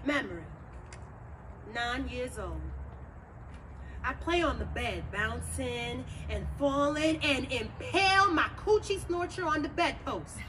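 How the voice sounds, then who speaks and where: A woman's voice: high-pitched, lively intonation, slow. A woman performing a staged monologue as a candid, wounded young girl recalling childhood memories. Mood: pained, somber. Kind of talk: dramatic monologue at a staged reading. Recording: noisy live venue recording, traffic nearby.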